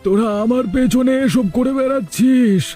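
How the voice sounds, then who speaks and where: A man's voice: very high voice, normal speed, dark tone, faint music behind. A man voicing a trusting, wronged village husband in a melodramatic cartoon, theatrical and plaintive. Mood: anguished.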